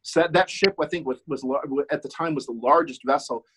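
A middle-aged man whose first language is German, talking as a podcast guest in a casual chat, with halting, hesitant phrasing. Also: on the high side, fairly bright tone, husky. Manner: hesitant, many restarts and fillers.

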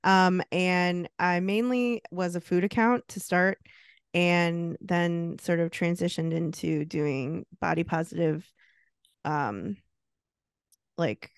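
A woman's voice: lowish voice, slow pace, clear, resonant tone, dry recording, studio quality. A woman in her late thirties co-hosting a podcast, chatting casually and laughing often. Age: 30s. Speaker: podcast co-host. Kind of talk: casual chat.

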